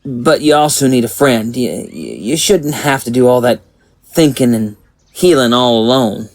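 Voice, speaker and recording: An actor playing a gentle, earnest small-town man in an audio drama. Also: medium pitch, expressive, a little slow, no room sound, rough recording. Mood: tender, sincere.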